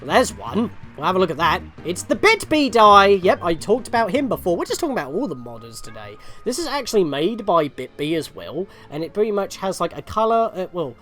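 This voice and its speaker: Game video host rambling thru commentary, really lively pitch swings, music playing behind. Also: a little fast, few pauses. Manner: rambling, self-questioning. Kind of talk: reactive gaming commentary.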